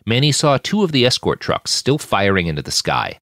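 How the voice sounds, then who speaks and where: A man reading scripted action fiction aloud in an expressive, dramatic narrating style. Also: on the low side, fairly quick, dry recording, clean studio sound. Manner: expressive, shouts out characters' lines. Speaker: audiobook narrator reading own fiction podcast.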